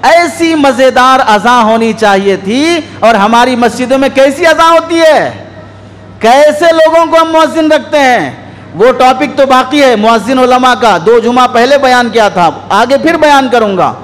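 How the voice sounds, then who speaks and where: High-pitched male preacher in an echoey hall. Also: normal speed, fairly bright tone. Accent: Indian. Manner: scolding, exhorting with rhetorical questions. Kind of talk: sermon. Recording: echoey hall with long reverb.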